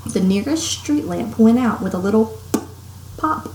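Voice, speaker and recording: A woman's voice: on the high side, expressive, a little slow, a little mellow. Woman teacher reading aloud, echoey room. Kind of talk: expressive storybook read-aloud with casual asides. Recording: roomy echo, faint background noise.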